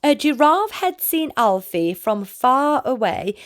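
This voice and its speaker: Gentle, expressive storytelling woman. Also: sits high, slow pace. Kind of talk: children's bedtime read-aloud.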